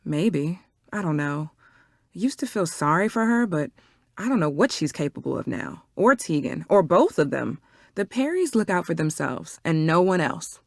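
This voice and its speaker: A woman's voice: sits low, sing-song, moderate pace, vocal fry, dry recording, clean studio sound, heavily acted. An actress playing a guarded, weary, grieving woman in a video game, speaking curtly. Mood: weary, sad. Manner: curt, guarded.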